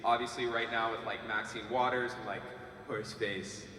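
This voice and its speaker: A man's voice: medium pitch, flat delivery, normal speed, bright, forceful tone. A man asking a question from the audience in a casual way, with lots of "like" and "um". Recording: echoey hall, faint noise.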